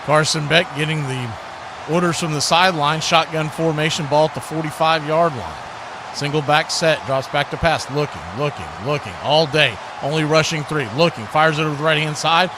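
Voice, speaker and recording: A man's voice: on the high side, normal speed. A man calling live football play-by-play for a radio broadcast. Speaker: play-by-play announcer. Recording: clear background noise.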